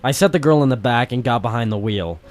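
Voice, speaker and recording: An actor playing a hard-boiled, wry private eye in a noir radio play, with clipped storytelling. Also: male voice, medium pitch, quick pace, natural voice. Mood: wry narrating. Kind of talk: noir radio-play detective narration and dialogue. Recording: music under the voice.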